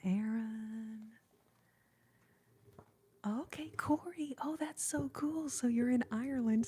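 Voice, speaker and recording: Slow, dark, breathy whispering woman. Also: medium pitch. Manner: soft whispering, chatty, reads out viewer comments; giggly and rambling. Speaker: ASMR streamer. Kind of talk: whispered ASMR livestream chat.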